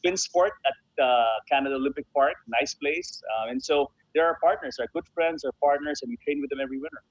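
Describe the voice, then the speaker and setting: A man's voice: fairly high pitch, fairly quick, no echo, muffled recording. A man speaking as a guest in a conversational radio interview, emphatic and repeating phrases to stress them. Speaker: interview guest, sports association founder and honorary consul.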